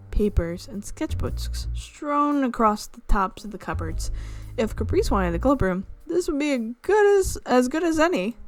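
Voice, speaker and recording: A woman reading visual novel narration aloud as a let's player, with casual reactions of her own. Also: medium pitch.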